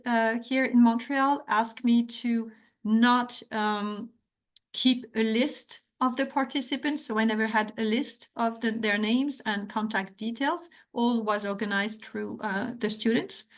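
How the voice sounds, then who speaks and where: Woman, French accent, middle-aged scholar, hesitant restarts, higher voice. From France. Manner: earnest, hesitant with frequent restarts. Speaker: academic author. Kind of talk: academic talk and Q&A.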